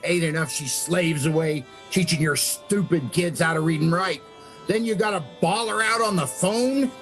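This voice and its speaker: A man plays a belligerent small-town husband in an amateur radio drama. His voice is high for a man, blustering and colloquial, with music playing faintly behind it. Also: normal speed, fairly bright tone, close to an everyday voice. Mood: angry, threatening. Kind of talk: amateur radio play acting.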